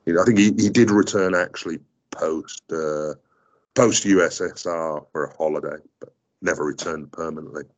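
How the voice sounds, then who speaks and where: A man's voice: a bass voice, fairly even intonation, moderate pace. A man whose first language is Greek, speaking as an interview guest in a hesitant way, with many fillers and restarts. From South Africa. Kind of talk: casual interview talk.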